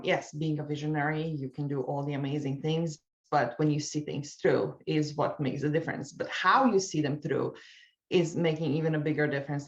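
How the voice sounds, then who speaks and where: Slovenian accent lady chatting on a video call, lowish voice, kinda dull muffled audio. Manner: chatty, laughs easily, goes off on tangents. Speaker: guest, life coach. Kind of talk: casual interview chat.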